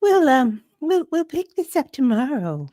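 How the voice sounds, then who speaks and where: A woman playing a flustered, breathy young woman in a dramatic romance reading, nervous and easily exasperated. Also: sits high, sing-song, measured pace, dark tone, no room sound, clean studio sound. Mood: shaky, nervous.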